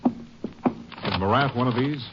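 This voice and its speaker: An actor playing a brisk Ranger captain in a 1950s radio drama. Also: male voice, medium pitch, over music, natural voice. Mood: brisk, alert. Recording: muffled vintage radio recording.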